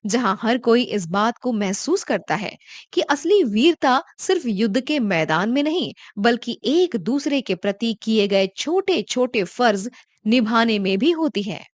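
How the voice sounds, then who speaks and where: Female narrator for a cartoon moral story, slightly high voice, scripted read, dry sound. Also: big pitch swings, unhurried, dry recording.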